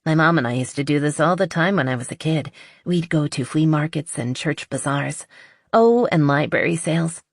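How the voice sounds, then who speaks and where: Female reader as bubbly, enthusiastic young woman in a romance audiobook, lifted pitch, dry sound. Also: lively intonation, moderate pace, no echo. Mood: happy, reminiscing. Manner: chatty and enthusiastic. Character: cheerful, eager, artsy young woman in love. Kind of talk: audiobook character dialogue.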